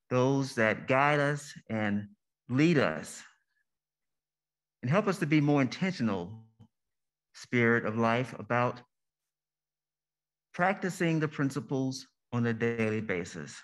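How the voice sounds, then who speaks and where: A man leading a congregation in solemn prayer as a minister, with deliberate, measured phrasing and pauses. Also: medium pitch, lively intonation, fairly bright tone.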